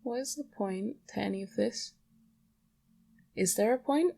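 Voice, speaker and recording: Blunt, creaky-voiced young podcaster rambling casually. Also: medium pitch, a little slow, mellow. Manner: blunt, sweary, self-questioning. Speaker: podcast host. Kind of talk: casual solo rambling monologue.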